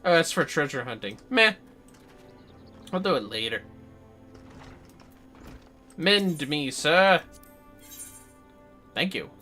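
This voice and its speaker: Bright, animated game streamer, androgynous voice. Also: mid-range voice, slow pace, long pauses, faint music behind. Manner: playful, casual muttering to self, swears. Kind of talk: casual livestream gaming commentary.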